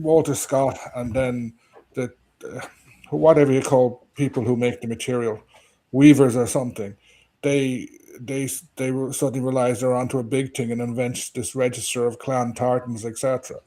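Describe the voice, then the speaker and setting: A man explaining history in a measured, knowledgeable way, with small restarts. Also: mid-range voice, fairly even intonation, mellow. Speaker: history commentator. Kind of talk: casual discussion.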